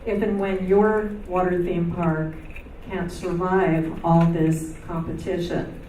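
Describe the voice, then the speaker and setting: A soft-spoken woman moderating a civic meeting, reading audience questions aloud. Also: fairly low pitch, flat delivery, slow pace. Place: meeting hall. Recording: echoey hall, distant mic, noisy.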